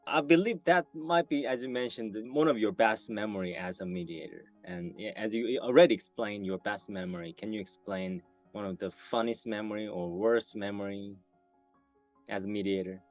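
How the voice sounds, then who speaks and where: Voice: low voice, normal speed, faint music behind. An interview host asking polite questions with brief affirmations and slightly non-native phrasing.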